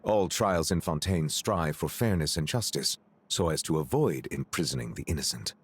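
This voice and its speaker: An actor playing a courteous, earnest dragon judge in a video game, speaking formally and a little awkwardly. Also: male voice, rumbling low, lively intonation, breathy edge, exaggerated voice. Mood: earnest, measured. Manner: formal, measured, slightly awkward when flustered.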